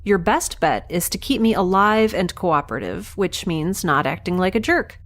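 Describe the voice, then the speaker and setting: A woman playing a defiant, quick-witted young researcher who is nauseated and concussed and talks back sardonically. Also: on the low side, natural voice. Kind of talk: audio drama acting.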